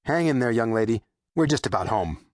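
An actor playing a nervous, friendly police officer in an audiobook, casual and reassuring with a slight awkwardness. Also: male voice, mid-range voice, lively intonation, brisk, dry recording, studio quality, natural voice. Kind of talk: audiobook character acting.

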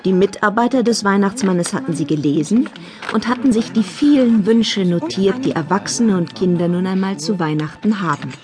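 A woman narrating a children's Christmas tale in a measured, wryly humorous storybook style. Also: medium pitch, lightly acted. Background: music.

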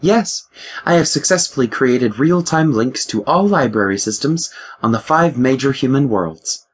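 Man voicing a female ship AI, raised pitch, formal, dry sarcasm, husky. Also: lively intonation, a little slow. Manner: formal, precise, dryly sarcastic. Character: calm, curious female starship AI. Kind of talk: audiobook character voice.

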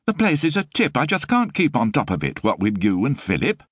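A man's voice: mid-range voice, huge pitch swings, fairly quick, husky, no room sound, studio quality, voice pushed up. A man voicing an exasperated young mother who scolds her lodger. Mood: scolding. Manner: nagging, scolding. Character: exasperated young woman, sharp-tongued single mother. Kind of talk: audiobook character voice.

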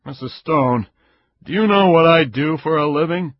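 A stern, curt police investigator, as a male storyteller plays him, speaking with a husky edge and firm emphasis. The recording sounds dull and lo-fi. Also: fairly high pitch, expressive, normal speed, no echo, pitched above the performer's own voice.

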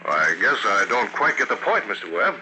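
An actor playing a composed, determined newspaper publisher in an old-time radio drama, talking in a measured way. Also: male voice, lowish voice, very animated, background noise.

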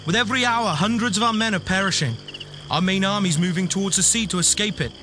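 A man's voice: high-pitched, fast, lightly acted. A man acting an urgent crusader messenger in a children's audio drama, dramatic in delivery. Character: urgent crusader army messenger bearing grim news. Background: music.

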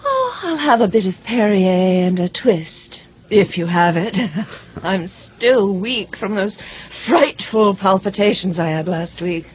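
Hypochondriac older society wife for audio theater: lively inflection, catty, fussy, medium pitch. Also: female voice, slow pace, slight background noise, rough recording. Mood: frail, complaining. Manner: fussy, complaining, gossipy.

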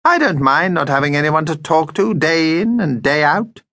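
Man playing a high-pitched, pompous little vampire. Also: sing-song, moderate pace, pushed and bright, dry recording, clean studio sound, heavily acted. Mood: self-pitying sulk. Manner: pompous, declaiming, complaining. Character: small grumpy male vampire, theatrical, self-pitying, easily offended.

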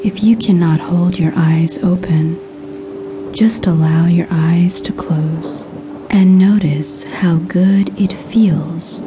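A woman narrating a scripted guided sleep hypnosis in a soothing, hushed, breathy voice with measured pauses. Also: fairly low pitch, fairly even intonation, music in the background.

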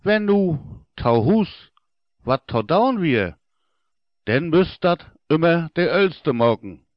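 A man with a Low German accent narrating a fairy tale for children in a deliberate storytelling manner. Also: very animated, no echo, highs cut off. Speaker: audiobook narrator.

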